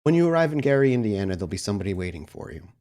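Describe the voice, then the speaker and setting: Man playing a low-key, formal vampire prince's courier. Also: big pitch swings, racing, darkish tone. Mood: solemn, businesslike.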